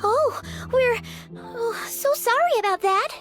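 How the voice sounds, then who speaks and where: A woman voicing a tiny, curious, childlike fairy companion in a video game, squeaky, naive and earnest. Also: slow, exaggerated voice. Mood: confused, apologetic. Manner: naive, expressive, refers to herself by name. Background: background music.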